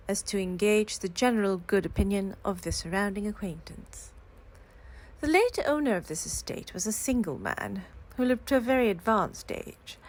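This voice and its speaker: A woman reading classic literary fiction aloud in a calm, cozy storytelling style. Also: medium pitch. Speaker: reader of classic novels aloud.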